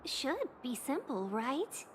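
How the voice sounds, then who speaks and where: A woman's voice: sits high, big pitch swings, slow pace, slight background noise, exaggerated voice. A woman voicing a polite, scheming young female commissioner in a video game, with a courteous, coaxing manner. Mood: sweetly coaxing. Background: game music.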